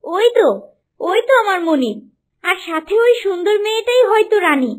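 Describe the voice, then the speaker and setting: A woman's voice: very high-pitched, lively intonation, moderate pace, a little hiss or hum. A woman voicing a small, loyal talking horse in a cartoon, eager and pleading in manner. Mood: delighted, eager. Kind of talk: cartoon story dubbing.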